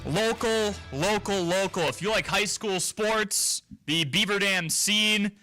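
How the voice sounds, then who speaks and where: A man hosting a local sports radio show, delivering promos and banter with energy and quick laughs in the studio. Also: sits high, bright, forceful tone, soft background music.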